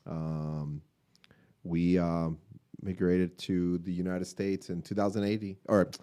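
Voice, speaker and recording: A man from Cuba in his late forties, a restaurant owner telling his story as a guest, relaxed and hesitant with many fillers. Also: low-pitched, takes it slowly, clear, resonant tone. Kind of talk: interview answers, personal story. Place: podcast room in a restaurant.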